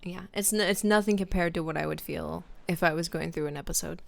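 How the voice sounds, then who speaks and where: A woman's voice: lowish voice, fairly quick, creaky. A young woman streamer chatting casually with viewers, rambling with lots of fillers and restarts. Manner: chats with viewers by name. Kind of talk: casual live-stream chat.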